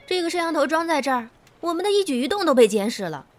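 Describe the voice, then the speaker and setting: A woman's voice: high-pitched, big pitch swings, fast talker. A woman playing an indignant, gossipy office colleague who grumbles and exclaims in an amateur radio drama. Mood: annoyed, grumbling.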